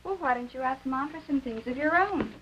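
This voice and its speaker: A woman's voice: sits high, lively intonation, a little fast. A woman playing an exasperated, matter-of-fact teenage American big sister in a 1950s educational film. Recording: dull, faintly noisy 1950s film soundtrack.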